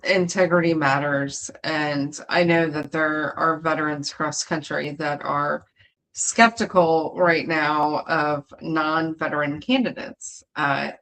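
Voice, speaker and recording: Woman host, army vet, lots of ums, kinda halting, deep for a woman. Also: fairly bright tone, low-quality recording. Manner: halting, many ums and uhs. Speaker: show host, Army veteran. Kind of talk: livestream interview questions.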